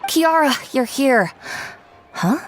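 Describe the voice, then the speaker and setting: A woman's voice: high-pitched, animated, takes it slowly, a little hiss or hum. A woman playing a friendly, businesslike shopkeeper who makes clothes, in a video game. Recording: music under the voice.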